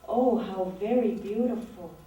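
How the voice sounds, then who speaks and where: Slow-reading woman in her sixties, echoey hall. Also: medium pitch, narrow, tinny audio, a little hiss or hum. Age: 60s. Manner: slow, measured, reflective. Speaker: author giving a reading. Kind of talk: poetry reading with talk between poems. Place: lecture room at a literary event. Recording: very echoey room, voice distant from mic.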